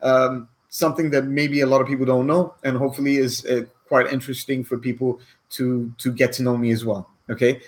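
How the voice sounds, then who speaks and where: A man's voice: mid-range voice, flat delivery, fairly quick. Earnest man in his forties. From Cyprus. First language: Greek. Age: 40s. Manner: earnest, rambling, keeps checking in with "okay?". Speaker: online business coach. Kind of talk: casual talk to camera.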